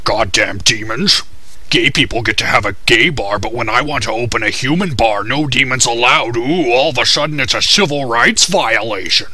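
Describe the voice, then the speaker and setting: A man's voice: mid-range voice, normal speed, bright, forceful tone, breathy edge, no echo. A man voicing a put-upon human barkeep in a cartoon parody, ranting in a whiny, aggrieved way. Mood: resentful. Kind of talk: comedic cartoon parody dub.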